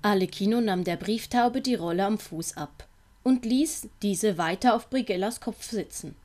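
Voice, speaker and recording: A woman's voice: medium pitch, moderate pace, darkish tone. A woman reading scripted audiobook narration as the storyteller. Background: music.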